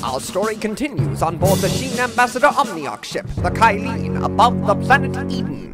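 High-voiced man, dramatic serial announcer. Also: sing-song, moderate pace. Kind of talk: dramatic scripted radio serial narration. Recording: loud music behind.

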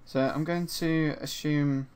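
A man with a slightly hoarse voice from a sore throat narrates a screen-recorded 3D sculpting tutorial in a relaxed, chatty way, with frequent ums and uhs. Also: fairly high pitch, slow. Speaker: 3D art instructor. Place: at his desk, voice-over for a screen recording.

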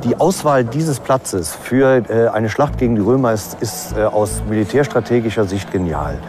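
A man explaining as an expert interviewee, hesitating often as he reasons. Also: medium pitch. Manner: hesitant, frequent pauses with "äh" while reasoning.

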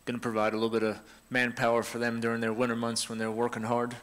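A middle-aged man, casually leading a panel in a church hall on a handheld mic, relaxed and joking. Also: mid-range voice, fast. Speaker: team leader hosting a panel. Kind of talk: casual church talk to a congregation. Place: church hall with a seated audience. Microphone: handheld microphone.

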